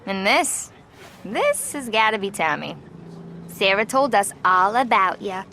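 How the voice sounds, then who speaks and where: An actress playing a friendly, chatty young neighbour of the 1930s in a game cutscene, eager in manner. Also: female voice, medium pitch, sing-song, measured pace, sharp tone, full character voice. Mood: friendly, excited. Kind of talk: video game cutscene acting. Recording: faint outdoor street noise.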